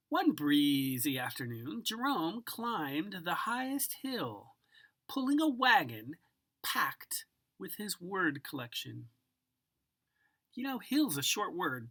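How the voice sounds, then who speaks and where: A woman giving an expressive children's picture book read-aloud, savoring words dramatically and speaking directly to the listener. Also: fairly low pitch, breathy edge. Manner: savors single words with drawn-out, dramatic delivery and occasional whispers. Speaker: storybook reader.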